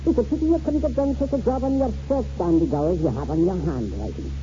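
An actor in a 1940s radio drama playing a stern, dry, admonishing lawyer with a kind streak. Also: male voice, high voice, fast talker, dark tone, slight background noise, natural voice. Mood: stern, scolding. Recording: dull, muffled 1940s radio recording.

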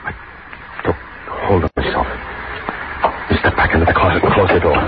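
An actor playing a frightened, reluctant killer who narrates in a tense, halting, brooding way in a 1940s radio drama. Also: male voice, on the low side, close to an everyday voice. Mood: afraid, tense. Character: frightened reluctant man lying in wait to kill. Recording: noisy, muffled 1940s radio recording.

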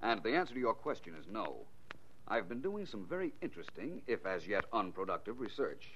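A man's voice: fairly low pitch, big pitch swings, a little fast, a bit bright. A man playing a suave, self-assured American private detective in a 1940s radio drama, speaking in a polished, formal, wry way. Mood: dryly amused. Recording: muffled, noisy 1940s radio recording.

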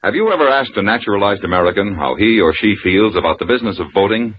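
A man's voice: on the low side, lively intonation, fast. A man reading a scripted public service announcement as a radio announcer. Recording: muffled old radio recording, hissy.

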